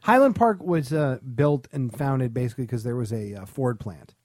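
A man's voice: mid-range voice, lively intonation, normal speed, a little mellow, dry recording, clean studio sound. An American man co-hosting a podcast, bantering casually with a sardonic, wisecracking manner. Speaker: podcast co-host.

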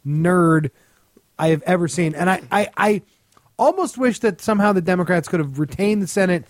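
A man's voice: sits high, moderate pace, no room sound, studio quality. An American man giving casual comic commentary in a blunt, mocking manner. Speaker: co-host guest on a comedy radio show.

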